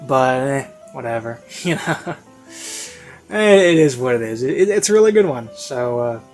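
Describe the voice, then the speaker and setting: A man giving a casual, unscripted review in a rambling, hesitant way, often interrupting himself. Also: mid-range voice. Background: classical instrumental music.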